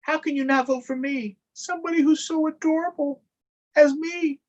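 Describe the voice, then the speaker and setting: A man's voice: very high-pitched, fairly even intonation, normal speed, highs cut off, voice pushed up. A man voicing a cute, vain, easily offended young elephant in a children's storybook. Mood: cutesy.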